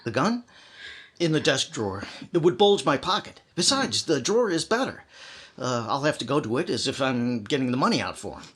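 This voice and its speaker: A man's voice: mid-range voice, very animated, a little fast, fairly bright tone, husky. An actor playing an anxious, scheming man turned cold-blooded killer in an old-time radio thriller, terse and commanding. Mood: coolly scheming.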